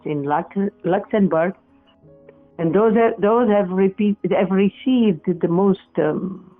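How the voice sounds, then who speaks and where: An older woman from Portugal, a native Portuguese speaker, talking as a guest and searching for words with frequent restarts. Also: mid-range voice. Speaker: guest, researcher of spirit voice recordings. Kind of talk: interview over a remote call. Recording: tinny phone-like line.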